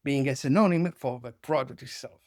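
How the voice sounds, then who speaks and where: A man's voice: medium pitch, brisk, no echo, studio quality. A middle-aged man, hosting an interview and musing aloud as he searches for words. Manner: thoughtful, searching for words, restarts mid-phrase. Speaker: podcast host. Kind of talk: conversational interview.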